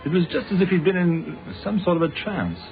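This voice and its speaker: An actor playing a patient, courteous police inspector in a British radio drama, speaking in a measured, polite way with a British accent. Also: male voice, sits high, animated, vocal fry, faint music behind. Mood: reflective, recounting. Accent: British English. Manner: measured, polite, dryly unflappable. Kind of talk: old-fashioned British radio drama acting. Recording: narrow, phone-like old radio recording.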